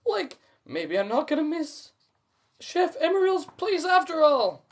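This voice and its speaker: A man voicing a hungry, cowardly, goofy young slacker in a drawling, excitable storybook cartoon voice. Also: very high-pitched, unhurried, voice pushed up, heavily acted. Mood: delighted. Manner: drawling, whiny, excitable, peppered with "like". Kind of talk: cartoon voice in live storybook reading.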